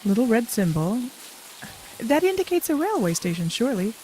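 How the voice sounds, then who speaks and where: A woman's voice: a little high, very animated, moderate pace, dark tone, slight background noise, low-quality recording. A woman playing a guarded, dry-witted companion to a time traveller in an audio drama, reserved and understated. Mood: practical.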